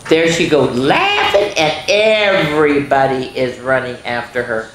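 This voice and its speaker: A woman's voice: extremely low pitch, big pitch swings, measured pace, a bit bright, a little hiss or hum. A woman hosting children's story time, reading a picture book aloud and breaking off for exclaiming asides to her listeners. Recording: roomy, echoing indoor sound.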